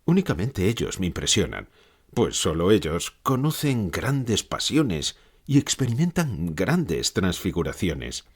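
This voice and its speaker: A man's voice: low voice, very animated, a little slow, breathy edge, full character voice. A man playing a gloomy, ironic old philosopher in a dramatized interview, speaking reflectively with sardonic, emphatic phrasing. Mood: solemn, reflective. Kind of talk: dramatized literary interview for an audiobook.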